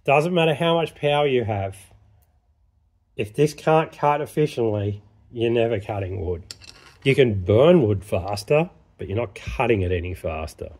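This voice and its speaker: A man's voice: medium pitch, lively intonation, moderate pace, a little mellow. A man giving a casual, matter-of-fact workshop demonstration of filing a chain, talking plainly while he works. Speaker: chainsaw sharpening instructor. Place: workshop bench.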